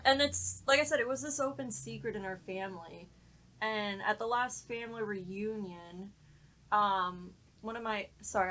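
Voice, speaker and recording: A woman's voice: mid-range voice, bright, forceful tone, a little hiss or hum. A woman from Florida chatting casually and telling family stories in an offhand, flippant way. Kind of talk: casual chat and personal storytelling.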